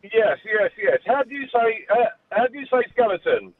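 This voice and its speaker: A man's voice: sits high, very animated, moderate pace, no echo. A man calling in to a radio phone-in, casually teasing the host. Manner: cheeky, teasing. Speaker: caller. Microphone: telephone. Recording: tinny phone line.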